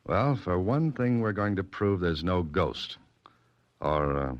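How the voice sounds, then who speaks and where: An actor playing a wry, brisk private detective in 1940s radio drama acting style. He cracks dry jokes and gives clipped orders. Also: deep voice, big pitch swings, no echo, muffled recording, full character voice. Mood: scheming.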